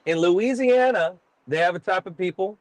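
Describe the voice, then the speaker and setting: A man's voice: high voice, normal speed, dry recording. A middle-aged man from Texas, chatting casually as a guest musician and telling rambling, self-deprecating stories. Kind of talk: casual podcast chat.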